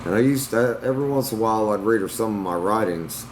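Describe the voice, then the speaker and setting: A young man with a somewhat low voice, answering his livestream chat bluntly and defensively. A steady air-conditioning hum runs under everything he says. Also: quick pace. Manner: blunt, defensive, Southern-tinged slang. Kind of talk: casual livestream talk to chat.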